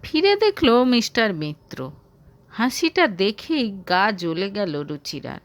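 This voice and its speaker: A woman's voice: medium pitch, very animated, takes it slowly. A woman reading a romantic story aloud as scripted narration.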